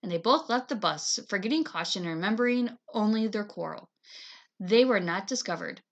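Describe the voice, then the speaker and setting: A woman teacher reading a chapter book aloud to children in an expressive, dramatizing manner. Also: medium pitch, a little fast, dull audio. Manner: animated, dramatizes dialogue.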